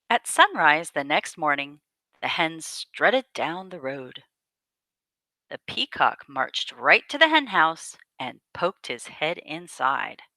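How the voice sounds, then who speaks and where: A woman reading a picture book aloud to children as a storyteller, with deliberate, dramatic phrasing. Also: low voice, bright, forceful tone, no echo.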